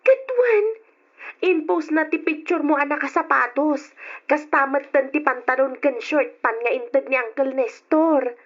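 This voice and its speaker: Actress for teasing young female cousin, high-pitched, gossipy, Ilocano Filipino accent, radio drama, dull lo-fi sound. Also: measured pace. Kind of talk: old-style Ilocano radio drama acting.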